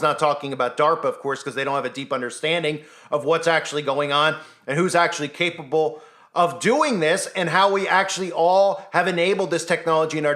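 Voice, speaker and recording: A man's voice: a little high, a bit bright. A man delivering an opinionated news commentary monologue as an independent video host, insistent and full of rhetorical questions.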